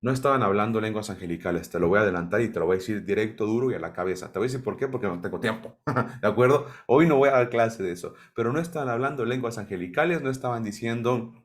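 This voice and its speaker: Low-pitched male Bible teacher, questions the room, keeps checking in. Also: fast. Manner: asks the audience questions and checks in with "¿sí?". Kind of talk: sermon-style Bible teaching.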